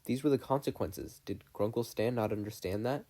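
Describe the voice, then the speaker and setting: Mellow fan fiction narrator. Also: medium pitch, a little fast. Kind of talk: scripted story narration.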